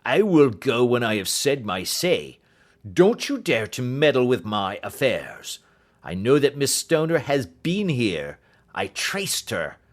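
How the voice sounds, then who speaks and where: A male audiobook reader plays a hulking old doctor with a furious temper. He blusters and snarls like a bully used to being feared, his pitch swinging widely as he threatens. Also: very animated, slow pace. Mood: furious, menacing. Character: huge fierce old doctor, menacing bullying villain. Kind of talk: audiobook character acting.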